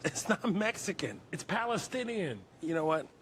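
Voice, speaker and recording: An indignant customer in a comedy sketch, scolding with a voice that swings up and down. A faint hiss sits under him. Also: male voice, sits high, big pitch swings, normal speed, a bit bright. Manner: offended, scolding. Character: indignant man defending his grandmother's hummus.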